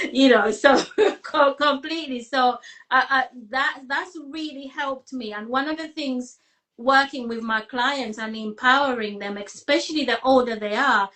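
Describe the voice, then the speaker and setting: A woman's voice: sits high, measured pace, a bit bright. A woman from Uganda, raised abroad, sharing her views conversationally as a guest, with emphatic repeats. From Uganda, brought up abroad. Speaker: guest panellist who works with clients. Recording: voice distant from the mic.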